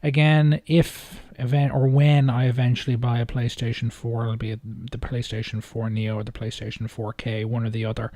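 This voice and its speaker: A man giving casual, off-the-cuff video commentary in a hesitant, rambling way with halting phrasing. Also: medium pitch, flat delivery, dark tone, no room sound. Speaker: video host reacting to game trailers.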